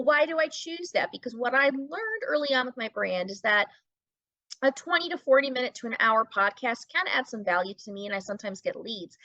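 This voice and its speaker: A middle-aged woman with a fairly high voice speaks conversationally as an interview guest. She drives points home with emphasis and rhetorical questions. The sound is very dry and a little muffled. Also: sharp tone, no echo. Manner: emphatic, asks and answers own questions. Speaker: podcast guest, brand-building business owner and teacher.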